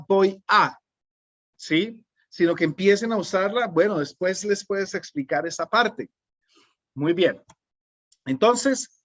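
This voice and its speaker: A middle-aged man with a Colombian accent presents a teaching webinar in a calm, unhurried way and often checks in with his listeners. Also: high-pitched, dry recording. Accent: Colombian Spanish. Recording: dull, dry, lo-fi webinar audio.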